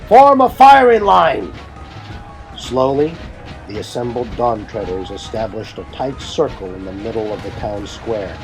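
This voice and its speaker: A man's voice: lowish voice, normal speed. A man delivering scripted, dramatic narration for an audio drama. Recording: music bed behind the voice.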